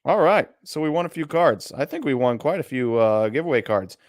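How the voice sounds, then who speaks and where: A man's voice: mid-range voice, animated, brisk, dry recording, clean studio sound. A man with a Midwestern American accent, co-hosting a casual live stream and thinking aloud as he browses listings. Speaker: co-host of a card auction stream.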